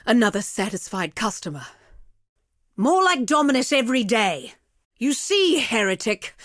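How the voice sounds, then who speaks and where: A villainous sorceress for a fantasy video game. Her womanly voice sits fairly low and is recorded dry, with no room around it. She speaks deliberately and theatrically, haughty and seductive, savouring each taunt with cruel poise. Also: no room sound. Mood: scornful, taunting. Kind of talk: dramatic video game character acting.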